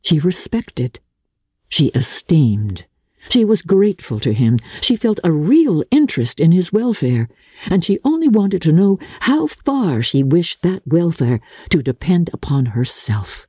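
A woman narrates classic literature in a formal, theatrical storytelling style. Her voice is husky and mellow, and her pitch moves dramatically up and down as she shapes each measured phrase. The sound is dry and intimate. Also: big pitch swings, dry recording. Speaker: audiobook narrator.

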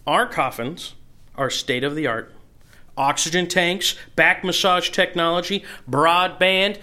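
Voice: mid-range voice, slow pace, bright, forceful tone. An actor playing a cocky, flippant scam artist in an audio drama, talking in a breezy, smug, salesman-like way. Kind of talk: scripted audio drama acting.

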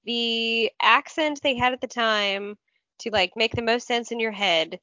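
A woman's voice: on the high side, unhurried, pushed and bright, lo-fi sound. A woman co-hosting a casual chat, joking and informal in a playful way. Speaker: podcast co-host.

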